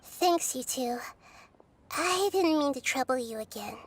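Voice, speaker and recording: Soft-spoken girlish goddess, high, calm, thoughtful, reassuring. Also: unhurried, very noisy. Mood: gentle, thoughtful. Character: gentle young-looking girl goddess, wise and calm. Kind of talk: video game voice acting.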